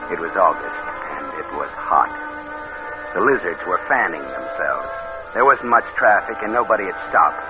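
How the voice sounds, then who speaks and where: An actor playing a scheming young drifter, sly and lazy, in a mid-century radio crime drama. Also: male voice, sits low. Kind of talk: 1950s radio crime drama, first-person narration. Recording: muffled old radio recording.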